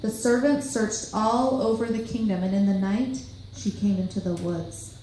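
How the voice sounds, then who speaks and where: A woman's voice: medium pitch, steady tone, normal speed, dark tone. A woman narrator reading a children's tale aloud with storytelling expression, in a very echoey room with faint noise.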